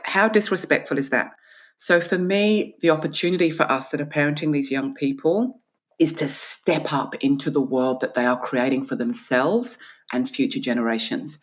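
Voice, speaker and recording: A woman from Australia, an author and guest, talking earnestly and with emphasis in an interview and acting out small dialogues. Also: a bass voice. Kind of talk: reflective interview conversation.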